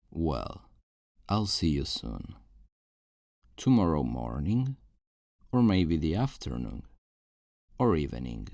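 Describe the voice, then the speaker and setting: A man acts out a controlling kidnapper with an extremely low, mellow voice that swings expressively. He is possessive and menacingly tender, coaxing and talking down to his captive in a close, dry ASMR roleplay. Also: creaky, no room sound, heavily acted. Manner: slow, coaxing, condescending pet-name talk. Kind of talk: ASMR roleplay, intimate monologue to the listener.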